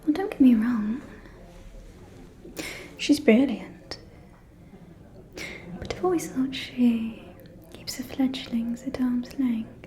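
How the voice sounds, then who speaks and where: A woman playing a scheming, falsely caring aristocratic vampire in a whispered ASMR roleplay, with a soft, breathy, silky and insinuating delivery. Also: fairly high pitch. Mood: coy, insinuating. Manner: slow, silky, insinuating, condescending.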